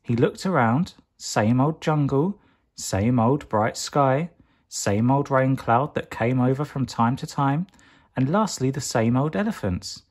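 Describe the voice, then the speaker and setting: Male storyteller for children's picture book read-aloud. Mid-range pitch, expressive, deliberate, drawn-out emphasis.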